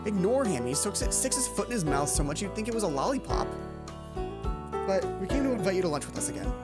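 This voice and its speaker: A woman's voice: mid-range voice, big pitch swings, fast, lightly acted. Woman putting on an awkward, pleading teenage boy, music behind. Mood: sheepish. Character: awkward, apologetic teenage schoolboys.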